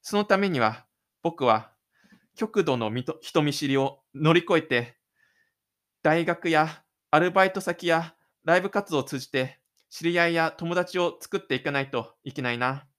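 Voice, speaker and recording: A man's voice: a little high, moderate pace, fairly bright tone, lightly acted. A man voicing a shy, stubborn, lonely teenage schoolboy in an introspective audio drama monologue. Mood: lonely, anxious.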